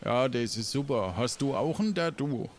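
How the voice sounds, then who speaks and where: A man's voice: mid-range voice, expressive, normal speed, a little hiss or hum, lightly acted. A man voicing an easygoing, folksy harbour guard with a drawl in an improvised audio play. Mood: folksy, welcoming. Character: easygoing male harbour guard of a pirate town.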